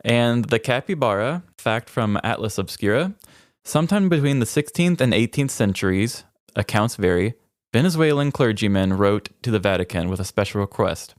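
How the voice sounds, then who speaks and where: A man with a fairly low voice, recorded dry and close with little room sound. He co-hosts a casual comedy podcast, moving between read-out trivia and cheeky, wry banter. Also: animated, moderate pace, no echo. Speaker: podcast co-host. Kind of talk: casual comedic chat with read-out fun facts.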